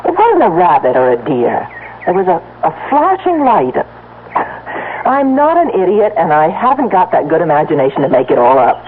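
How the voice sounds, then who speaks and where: An American actress playing a high-strung, insistent farmer's wife in an old-time radio drama, emphatic and dramatic. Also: female voice, sing-song, moderate pace. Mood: defensive, insistent. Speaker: actor. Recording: tinny vintage radio, faint noise.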